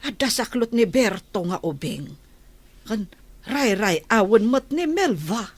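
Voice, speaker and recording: A woman acting an excitable doting Ilocano grandmother, unhurried. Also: a little high. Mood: excited, fond. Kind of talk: Ilocano radio drama acting.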